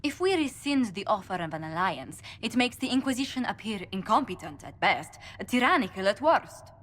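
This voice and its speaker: An actress playing a poised, articulate diplomatic advisor in a fantasy video game. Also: female voice, medium pitch, big pitch swings, normal speed, a bit bright. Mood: concerned. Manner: articulate, formal. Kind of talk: video game dialogue acting.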